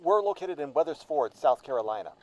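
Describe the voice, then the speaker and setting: A man's voice: a little high, animated, brisk, lightly acted. A man playing a formal, businesslike storage company employee on a phone call in an audio drama. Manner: formal, procedural. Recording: dull, band-limited phone-call sound.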